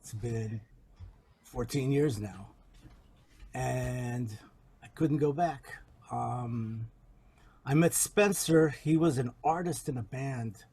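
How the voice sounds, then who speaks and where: A record producer in his fifties telling his background from his garage studio in a measured, reflective way. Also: medium pitch, warm tone, husky. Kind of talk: self-introduction on a call.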